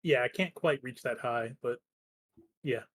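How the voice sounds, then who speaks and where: A man's voice: mid-range voice, unhurried, a bit bright. A man playing a tabletop roleplaying game, describing what his character does in a hesitant, informal way.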